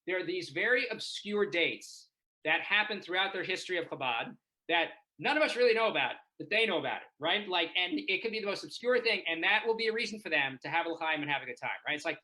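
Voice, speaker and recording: A man's voice: sits high, lively intonation, quick pace, pushed and bright, rough recording. A man from Israel doing a chatty stand-up set from his home over a video call, constantly checking in with the audience. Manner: chatty, keeps asking the audience "right?". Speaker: stand-up comedian.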